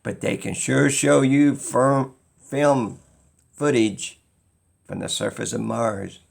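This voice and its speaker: A man's voice: mid-range voice, takes it slowly, creaky. A man giving an unscripted opinion monologue as a video creator, rambling and insistent, repeating phrases for emphasis.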